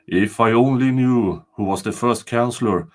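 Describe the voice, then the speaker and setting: A man's voice: mid-range voice, normal speed, close to an everyday voice. A man voicing a troubled king in a storybook folktale, earnest and exclamatory. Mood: angry, frustrated. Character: troubled adult male king, fearful and indignant.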